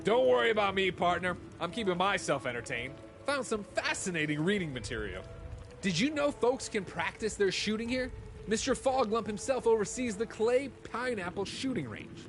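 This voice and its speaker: Video game sidekick: sly, jovial, higher-set and animated, folksy slang, music underneath. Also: male voice, normal speed. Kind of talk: scripted video game character dialogue.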